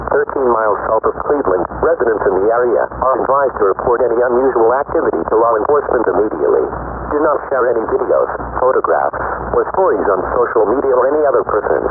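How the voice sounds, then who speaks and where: A man reading as a fast official alert voice. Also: medium pitch, natural voice. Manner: flat, formal reading. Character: official male emergency broadcast voice. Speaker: emergency alert announcer. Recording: tinny phone-like line with noise.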